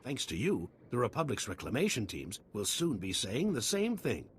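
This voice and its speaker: A formal, grateful male scientist from a video game. His pitch is middling but leaps about dramatically as he lays on elaborate thanks. A little ambient noise sits behind him. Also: wildly swooping pitch, moderate pace, fairly bright tone. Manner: formal, effusive, elaborately polite wording.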